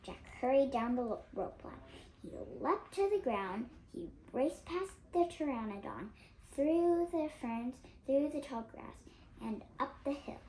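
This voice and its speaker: A high, child's voice of about eight reads a storybook aloud. The pace is slow and halting, with small stumbles and corrections, while the pitch moves in lively swings. There is some background noise. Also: low-quality recording. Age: child, 8 years old. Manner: halting, stumbles over words and self-corrects. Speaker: young reader on a read-aloud channel.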